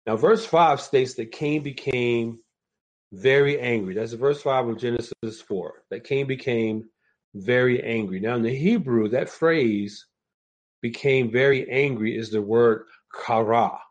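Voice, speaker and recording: A man preaching a sermon and reading scripture aloud, sounding congested and a little nasal from a sinus infection, speaking in a measured way and repeating key phrases. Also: medium pitch.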